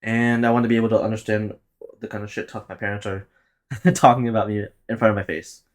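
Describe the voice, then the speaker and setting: A man's voice: on the low side, flat delivery, fast talker. A man about to turn twenty, hosting a solo podcast and rambling loosely with plenty of swearing and laughing at his own jokes. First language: Tagalog. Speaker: podcast host. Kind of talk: casual solo podcast monologue.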